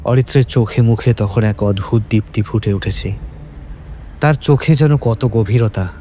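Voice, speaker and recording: A man's voice: on the low side, normal speed, dark tone, slight background noise. A man reading a scripted horror story as its narrator. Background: background music.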